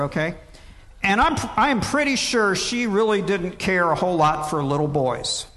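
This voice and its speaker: Older pastor preaching in a reverberant church. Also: male voice, fairly high pitch, a bit bright. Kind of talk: sermon.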